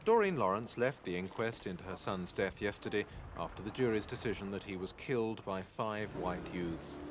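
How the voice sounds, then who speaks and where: A man's voice: lowish voice, animated, moderate pace, noisy background. A British man narrating a scripted news report in an archive clip.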